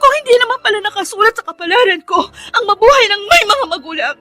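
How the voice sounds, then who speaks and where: A woman's voice: very high-pitched, animated, fast talker, bright, forceful tone, faint music behind, full character voice. A woman playing a wounded, bereaved young daughter in a Filipino radio drama, with an intense emotional delivery and a Filipino accent. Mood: bitter anguish.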